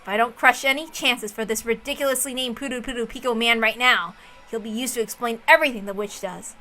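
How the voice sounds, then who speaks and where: A woman plays a calculating sorcerer brooding over a mystery duel in a visual novel. The voice is high and bright, with serious, deliberate, dramatic delivery. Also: fairly even intonation, soft background music.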